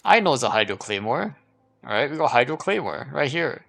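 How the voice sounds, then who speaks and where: A man's voice: medium pitch, big pitch swings, a little fast, gravelly, a bit bright. A man giving casual live gameplay commentary, thinking aloud as he repeats and questions himself. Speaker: game livestreamer.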